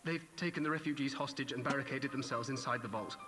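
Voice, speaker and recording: Actor as bright, earnest messenger in long reverb. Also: male voice, medium pitch, close to an everyday voice. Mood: shaken, urgent. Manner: halting, formal. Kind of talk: dramatic video game voice acting. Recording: long reverb, faint music behind.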